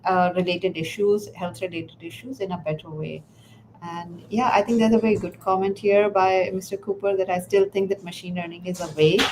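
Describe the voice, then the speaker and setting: A woman whose first language is Urdu, hosting a casual interview and talking in a halting way with many fillers and restarts. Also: on the low side, a little mellow, slight background noise, low-quality recording. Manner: hesitant, many fillers and restarts, laughs often. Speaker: podcast host.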